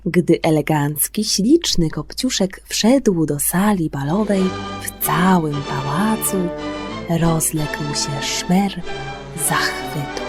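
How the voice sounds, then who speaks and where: A woman telling a children's fairy tale as scripted narration, expressive and gentle, with deliberate phrasing. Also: low-pitched, over music. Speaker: storyteller on a children's radio programme.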